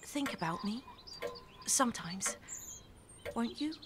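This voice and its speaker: A woman's voice: on the high side, lively intonation, slow, a little hiss or hum. An actress playing a shy, lovestruck young woman in an audio drama, earnest and hesitant. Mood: pleading.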